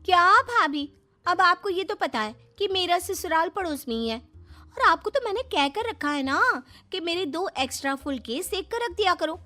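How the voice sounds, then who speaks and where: A woman plays a cheeky young married woman from an animated moral story. Her voice is high and bright, full of playful, wheedling drama, and it jumps around with lively swings. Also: moderate pace, pauses often, soft background music, full character voice. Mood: chirpy, teasing.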